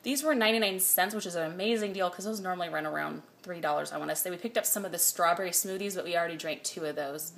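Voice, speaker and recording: A chatty woman in her thirties talks through her grocery haul at home, recorded close on a phone camera. She reads prices aloud in a relaxed, easygoing way, stopping for small fillers. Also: on the low side, fast talker, creaky, few pauses, slight background noise. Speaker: vlogger. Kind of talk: casual grocery haul chat. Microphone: phone camera held close.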